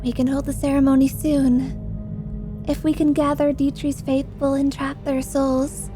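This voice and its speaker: A woman playing a devoted cultist servant who reports to a dark lord in a formal, reverent way. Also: high-pitched, little pitch movement, a little slow, over music. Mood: reverent, scheming. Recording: echoey hall reverb.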